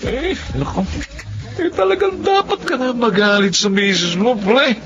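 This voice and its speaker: A man's voice: very high-pitched, animated, a little slow, muffled recording, over music, exaggerated voice. A man with a Filipino accent playing a sly, chauvinistic buddy who eggs on his friend in a radio drama. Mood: egging on.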